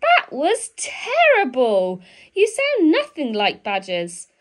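A woman's voice: high voice, wildly swooping pitch, slow pace, voice pushed up, exaggerated voice. A woman voicing a bossy, self-important male badger in a children's storybook, with emphatic, sing-song commands. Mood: dismissive.

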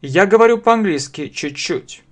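A man in his thirties with a Russian accent, teaching a language lesson very slowly, spelling out phrases word by word and repeating them at a desk webcam. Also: sits high, animated. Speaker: English teacher. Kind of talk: slow step-by-step language lesson. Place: small room indoors.

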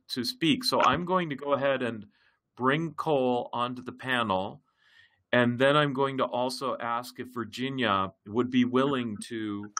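Male facilitator on a computer mic, bright tone, medium pitch, hesitant delivery. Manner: halting, many fillers and restarts. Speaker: project facilitator. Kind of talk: hosting an online language class. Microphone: computer mic in a web meeting.

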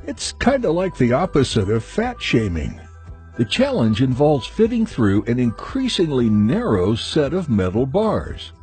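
A man's voice: medium pitch, a little mellow. A man reading a scripted news voice-over with a wry, lightly sarcastic touch. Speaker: news narrator. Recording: voice-over with music bed.

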